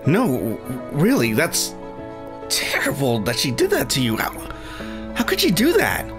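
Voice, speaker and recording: A man voicing an earnest, awkward, stammering young man in an animated fan series. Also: mid-range voice, unhurried. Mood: earnest, indignant. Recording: background music under the voice.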